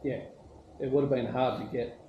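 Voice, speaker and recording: A man's voice: mid-range voice, steady tone, moderate pace, lo-fi sound. A man, a visiting pilot casually recounting what he was shown. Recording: echoey noisy room.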